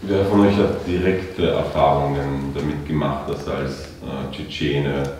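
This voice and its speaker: A man's voice: a bass voice, steady tone, a little slow, darkish tone. A man putting a direct question to a discussion panel. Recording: distant, very echoey hall, audible noise.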